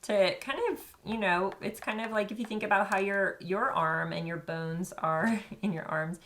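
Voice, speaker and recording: A woman's voice: medium pitch, fairly even intonation, normal speed, a bit bright. A woman co-hosting a children's show, explaining crafts in a friendly, encouraging way. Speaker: co-host. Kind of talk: casual how-to chat.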